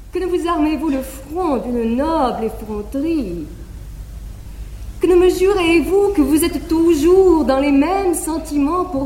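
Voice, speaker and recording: An actress playing a proud, wronged noble wife who declaims with bitter irony in a classical stage drama, on an old live theatre recording. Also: female voice, high voice, a little mellow, echoey room, long reverb tail. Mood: ironic.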